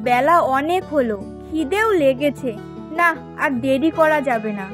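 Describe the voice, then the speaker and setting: A woman's voice: sits high, animated, a little slow, over music. A woman playing a hardworking, plain-spoken village fish seller and impatient wife in a cartoon, chatty and colloquial. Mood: tired. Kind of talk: Bengali fairy tale cartoon dubbing.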